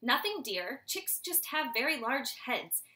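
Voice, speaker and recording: A woman reading aloud, voicing a gentle motherly barn owl who speaks in a soft, reassuring way. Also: high-pitched, sing-song, unhurried, echoey room, heavily acted.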